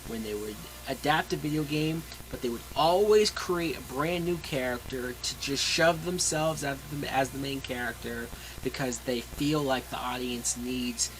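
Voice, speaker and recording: A man's voice: mid-range voice, background noise. A man reviewing a movie in a casual, opinionated way, with emphatic, blunt and sweary delivery. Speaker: film reviewer.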